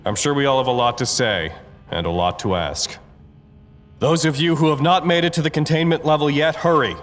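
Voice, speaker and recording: An actor playing a grave, weary facility leader in an audio drama, speaking formally and deliberately. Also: male voice, fairly high pitch, long reverb tail, lightly acted. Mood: urgent. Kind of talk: scripted audio drama acting.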